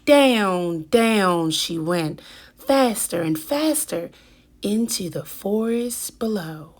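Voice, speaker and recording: A woman reading a children's picture book aloud with deliberate, dramatic emphasis. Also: mid-range voice, lively intonation. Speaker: children's story reader.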